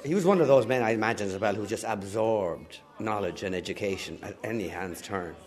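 A man talking reflectively in an interview, halting as he searches for words. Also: fairly low pitch, crowd noise. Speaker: actor interviewed.

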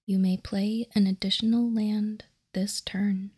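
A woman whispering softly in an unhurried, measured ASMR style as she reads out and comments. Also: medium pitch, little pitch movement. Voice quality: breathy, unvoiced whisper. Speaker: host of a card-game ASMR show.

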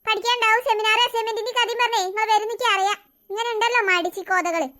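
A woman's voice: very high voice, steady tone, fast talker, sharp tone. A woman voicing a caring, overworked mother in a family cartoon, fussing over and mildly scolding the children. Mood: chiding.

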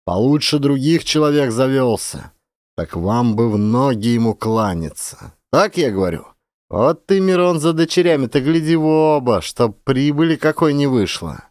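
A man's voice: medium pitch, sing-song, normal speed, a little mellow, dry recording, clean studio sound, close to an everyday voice. A man voicing a rude, spiteful middle-aged village drunkard, taunting with brazen mock respect, in an audiobook. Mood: insolent.

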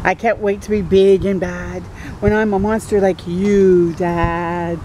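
A woman voicing an eager little boy monster, asking wondering, drawn-out storybook questions of his dad. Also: fairly low pitch, little pitch movement, a little mellow, a little hiss or hum, voice pushed down, natural voice. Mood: eager, curious.

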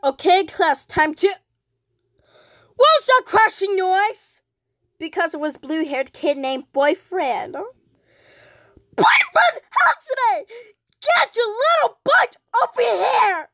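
Woman acting a stern teacher, very high pitch, bright, scolding, easily angered, skit. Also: slow pace, no room sound, clean studio sound, heavily acted. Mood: stern, annoyed.

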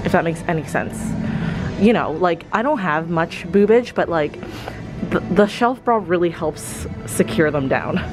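A woman's voice: fairly low pitch, animated, measured pace, over music. A young woman in her twenties chatting casually through a clothing try-on vlog, rambling with fillers as she films on a handheld camera in a shop. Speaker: fashion vlogger. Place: clothing store, indoors.

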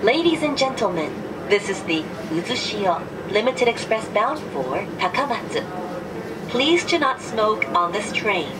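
A woman's voice: medium pitch, very animated, takes it slowly. A woman reading a formal, polite, carefully enunciated scripted announcement, played over a train PA speaker. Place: prerecorded, played in a noisy train car. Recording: distant PA, loud cabin noise.

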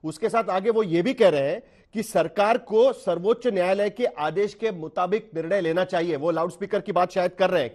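A man in his forties with an Indian accent, questioning a guest as a broadcast news anchor. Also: high voice. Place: TV news studio.

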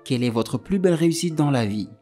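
A man off camera reading out prepared interview questions in a calm, even way. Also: medium pitch, fast, faint music behind.

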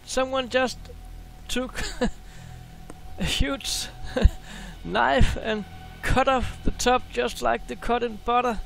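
A man talks excitedly through images on his screen, often repeating words as he goes. His voice sits high and has a gravelly fry. Faint music plays behind him. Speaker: space video host. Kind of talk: casual screen-share commentary.

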